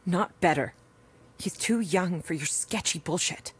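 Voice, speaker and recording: A woman's voice: lowish voice, unhurried, breathy edge. A woman playing a weary, principled rural doctor in an audio drama, blunt and sardonic. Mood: stern, accusing. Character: weary, principled rural doctor and mother in post-apocalyptic wilds. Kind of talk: naturalistic audio drama acting.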